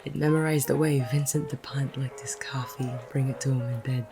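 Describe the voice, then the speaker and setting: An actor playing a gruff, wry, smitten male private detective in a noir audio drama, with teasing, plain-spoken tough-guy phrasing. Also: mid-range voice, fairly even intonation, brisk, over music, natural voice. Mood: wistful, tender. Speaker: narrator and lead character. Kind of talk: noir audio drama, first-person narration and banter.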